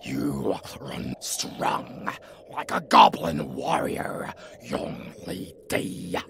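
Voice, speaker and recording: Slow, growling goblin lord. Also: male voice, low voice, wildly swooping pitch, fairly bright tone, slight background noise, exaggerated voice. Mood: commanding. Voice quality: gravelly, growling, breathy. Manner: formal, measured, solemn. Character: grave old male goblin lord, proud, gravelly, peaceable leader. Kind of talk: fantasy audio roleplay acting.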